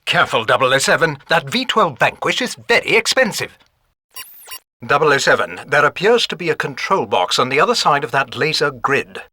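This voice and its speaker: An actor playing a fussy British gadget master in a spy video game, speaking formally in a clipped, dryly exasperated way. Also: male voice, a little high, big pitch swings, brisk, sharp tone, heavily acted. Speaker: mission support quartermaster. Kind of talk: video game mission briefing voice acting.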